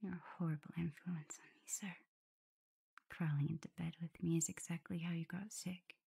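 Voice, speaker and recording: Woman doing shy loving omega werewolf girl for asmr roleplay, soft breathy whisper w some creak, tender n teasy, kinda low. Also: steady tone, normal speed, heavily acted. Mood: fond, teasing. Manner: tender, gently teasing, self-doubting. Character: shy, affectionate young female omega werewolf in love.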